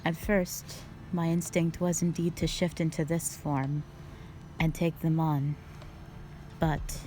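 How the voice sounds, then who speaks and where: Ancient female dragon guardian for audio drama, played by a woman: low-pitched, unhurried, proud, stern yet composed, formal diction. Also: warm tone, slight background noise. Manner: formal, measured, stately. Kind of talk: scripted roleplay audio drama monologue.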